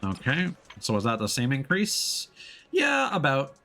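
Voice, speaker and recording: A man's voice: medium pitch, huge pitch swings, unhurried, sharp tone, soft background music. A man giving casual live game-stream commentary, thinking aloud in a hesitant, rambling way. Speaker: streamer, VTuber.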